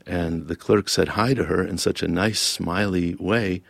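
A very deep-voiced man with a gravelly rasp, reading his own essay and then talking it through in interview. He is thoughtful and wry, and the sound is close and dry. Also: fairly even intonation, unhurried, no room sound. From Lebanon-born, raised in Toledo, Ohio. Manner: thoughtful, wry and self-questioning. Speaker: author and interview guest.

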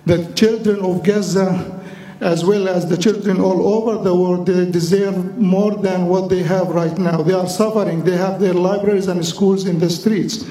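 Man, from the Middle East, high voice, warm mellow tone, earnest public comment, distant floor mic, echoey meeting hall. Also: steady tone, normal speed. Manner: earnest, appealing, in slightly non-standard English. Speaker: chapter councilor. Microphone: floor microphone.

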